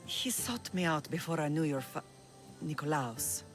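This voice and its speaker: A woman's voice: low-pitched, lively intonation, moderate pace, soft background music. A woman playing a grave, firm middle-aged mother who leads an ancient Greek island in a video game. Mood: guarded, grave. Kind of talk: video game cutscene acting.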